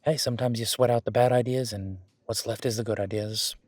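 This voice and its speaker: A man's voice: medium pitch, fairly even intonation, a little fast, darkish tone, dry recording, close to an everyday voice. A man playing a friendly, easygoing werewolf boyfriend who coaches his date at the gym in a casual, encouraging, rambling roleplay audio. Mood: warm, upbeat. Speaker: gym partner giving workout guidance. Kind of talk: roleplay audio drama, one-sided casual conversation.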